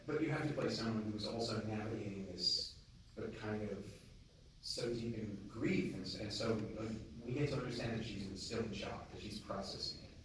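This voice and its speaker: A man's voice: fairly low pitch, steady tone, a little fast, a bit bright, distant mic, a little hiss or hum. A man moderating a film festival Q&A, asking long, thoughtful questions with many restarts. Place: cinema auditorium. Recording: roomy hall, mic from afar, crowd murmur.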